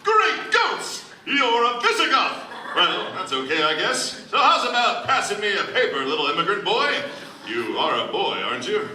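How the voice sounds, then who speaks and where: A man's voice: high-pitched, wildly swooping pitch, normal speed, pushed and bright, heavily acted. A man playing a cocky, easily exasperated ace newspaper reporter, theatrical and slangy, in a comic old-time radio play. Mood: indignant. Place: convention stage, recorded from the audience. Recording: distant camera, roomy hall, faint noise.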